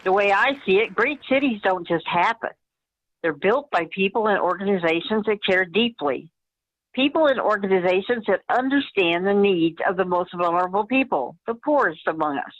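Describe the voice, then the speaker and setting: A woman playing an upbeat, polished city mayor in a radio play, speaking in measured political speeches. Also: medium pitch, animated, muffled recording, lightly acted. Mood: earnest, rousing. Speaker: amateur actor in a community radio play.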